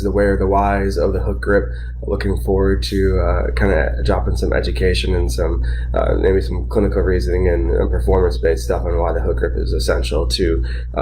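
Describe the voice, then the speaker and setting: A man's voice: sits low, slight background noise, poor audio. A man with a slightly nasal voice from a sinus infection, hosting a casual live educational podcast and rambling with fillers. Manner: relaxed, rambling with many fillers. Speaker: podcast host.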